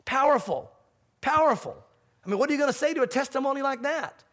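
A man's voice: very high-pitched, animated, quick pace, a bit bright. A man preaching a sermon as pastor, stressing his points by repeating phrases.

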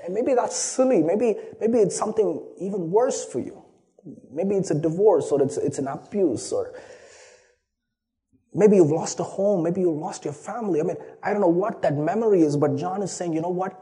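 Indian pastor preaching with a high voice. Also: male voice, normal speed, mellow. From India (Chennai). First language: Tamil. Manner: earnest, storytelling, repeats words at the start of phrases. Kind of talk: sermon with personal story.

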